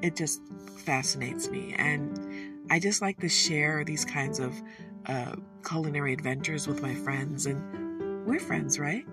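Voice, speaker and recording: A woman's voice: deep voice, measured pace. A woman cooking at home, chatting in a casual, folksy way with self-amused asides and corny jokes. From Detroit, USA. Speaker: home cook. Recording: distant mic with guitar music behind. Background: guitar music.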